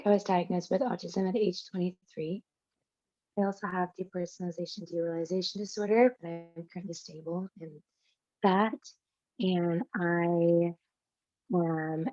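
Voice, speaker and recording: A woman's voice: mid-range voice, dark tone. A young adult woman introducing herself to a round table over dull, lo-fi call audio. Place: bedroom, sitting on a bed, video call.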